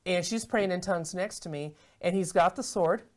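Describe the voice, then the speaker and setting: A middle-aged American woman on a studio talk show, telling her testimony as an animated storyteller who acts out the dialogue. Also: on the low side, normal speed. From United States. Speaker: guest minister. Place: television studio set.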